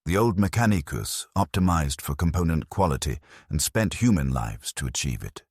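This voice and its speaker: Very deep, gravelly male story narrator. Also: lively intonation, moderate pace, no echo, clean studio sound. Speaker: narrator of a science-fiction lore story. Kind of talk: scripted first-person dramatic audiobook narration.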